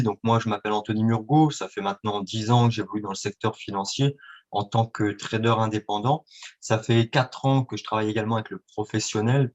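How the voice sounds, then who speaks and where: Interview guest: man, lowish voice, halting with fillers, dull lo-fi recording. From France and Italy. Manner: hesitant, many fillers and restarts. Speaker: guest, independent trader. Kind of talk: interview answers. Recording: dull lo-fi sound.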